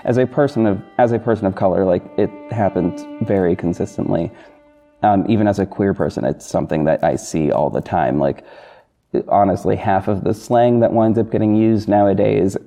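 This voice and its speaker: Hesitant man with a very mellow, dark voice. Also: on the low side, gravelly. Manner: hesitant, halting, self-interrupting. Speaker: podcast host, audio drama narrator and producer. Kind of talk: informal behind-the-scenes solo talk to listeners. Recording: soft guitar music bed under voice. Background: plucked guitar music.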